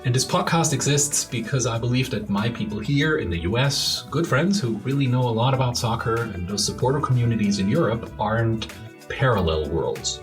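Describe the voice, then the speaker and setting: A man's voice: mid-range voice, animated, moderate pace, over music. A man hosting a football podcast, earnest and slightly formal, reading his intro and asking questions. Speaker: podcast host. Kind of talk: scripted podcast intro and interview.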